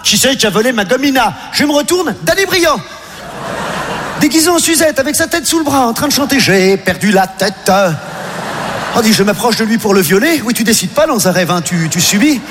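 A man rambles through an absurd comic monologue, stumbling over fillers and climbing into excited high runs. His voice sits high for a man. The sound carries a long hall reverb over steady background noise. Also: a little fast. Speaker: comedian.

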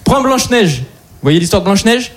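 A man doing a stand-up routine, animated and talking straight to the audience, on stage at a live show. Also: high voice, normal speed. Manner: animated, addresses audience directly. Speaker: stand-up comedian. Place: comedy stage before audience. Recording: live show with loud crowd and noise.

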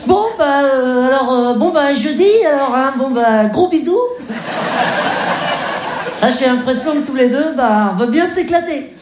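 A woman's voice: sits high, unhurried. A woman performing a comedy sketch as a naive young man phoning his mother, rambling and hesitant with many fillers. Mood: chatty. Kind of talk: stand-up character sketch. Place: stage before a live audience. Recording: echoey live stage, audience noise.

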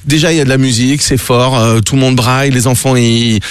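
A man appearing as a guest comedian in a radio studio, telling comic stories casually in an animated, rambling, digressive way. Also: mid-range voice, brisk, clear, resonant tone, dry recording.

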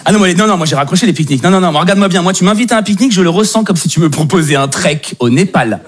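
A man's voice: high voice, lively intonation, rapid-fire, background noise. A man doing a stand-up set, wry and self-mocking, with a mock-weary delivery. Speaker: stand-up comedian.

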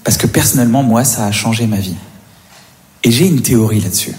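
A man's voice: mid-range voice, expressive, a little fast, a little mellow. A man doing a stand-up routine, chatty and building up to playful rants. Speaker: stand-up comedian.

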